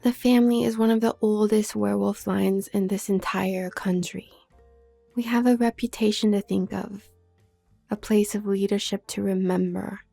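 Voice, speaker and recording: A woman playing a haughty, manipulative younger werewolf sister from a noble family in an audio drama, speaking with condescending, needling smoothness. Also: mid-range voice, steady tone, normal speed, mellow, soft background music. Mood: cool, mocking. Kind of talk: scripted audio roleplay drama.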